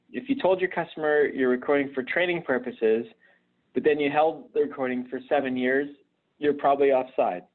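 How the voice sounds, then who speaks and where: A man gives an instructional live webinar presentation, explaining things conversationally and haltingly, often hesitating and repeating words. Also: medium pitch. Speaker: presenter at a virtual conference. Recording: narrow, phone-like online call audio.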